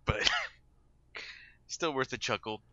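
Bright, laughing man on a dry mic. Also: medium pitch, takes it slowly, no echo, dull audio. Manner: laughs often, swears, gushes. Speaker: podcast co-host. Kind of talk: casual movie review chat.

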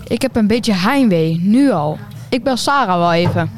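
A woman's voice: medium pitch, expressive, normal speed, faint music behind, close to an everyday voice. A woman playing a worn-out, homesick traveller in an amateur radio play. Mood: weary, homesick.

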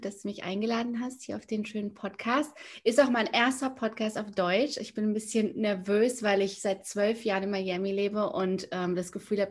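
A woman in her early forties from near Frankfurt, telling her story as a podcast guest with many fillers and pauses to find her words. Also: medium pitch. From Germany, near Frankfurt. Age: 40s. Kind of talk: casual interview storytelling.

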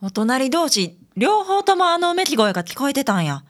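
A woman's voice: sits high, very animated, moderate pace. A woman playing a perky young female detective's assistant with a Kansai accent in a radio drama. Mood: excited. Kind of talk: radio drama narration and dialogue.

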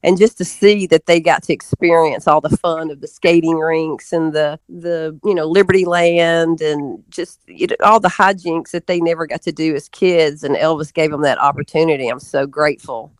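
A woman's voice: deep voice, fairly even intonation, normal speed, few pauses, no room sound, low-quality recording. Woman being interviewed, chatty rambling storyteller, keeps backtracking, close dry sound. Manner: rambling, often backtracking mid-sentence. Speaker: interview guest. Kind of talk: casual interview storytelling, reminiscing.

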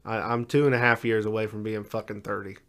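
A man's voice: fairly low pitch, level tone, fast talker, fairly bright tone. A man in his late twenties co-hosting a podcast in casual, profane, laid-back chat. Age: 20s. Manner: laid-back, swears a lot, trails off. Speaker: podcast co-host.

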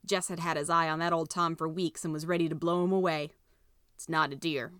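A woman's voice: fairly low pitch, level tone, fast talker, fairly bright tone, smooth, no echo, clean studio sound. A woman narrator reading a scripted children's story.

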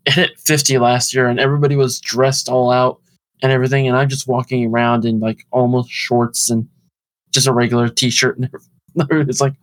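A man's voice: medium pitch, little pitch movement, a little fast, no room sound, poor audio. A young man from Florida chats on a podcast and laughs through his own stories. His voice sits mid-range. The mic is close and the sound is dry. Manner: amused, laughs while telling stories. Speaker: podcast co-host. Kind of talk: casual chat.

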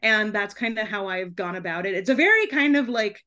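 A young woman speaks as a guest in a relaxed interview. Her voice sits at a middle pitch, and she talks in an animated, chatty way, often dropping in "like" as she thinks aloud. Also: brisk. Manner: chatty, animated, frequent "like" and laughing asides. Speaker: podcast guest, debut author. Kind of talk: casual chat interview.

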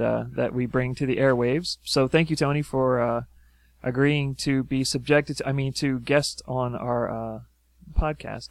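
A man's voice: mid-range voice, no room sound. A man hosting a podcast, chatting casually and rambling with many fillers. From South Louisiana. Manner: rambling, filler-heavy storytelling. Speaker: podcast host. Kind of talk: casual chat.

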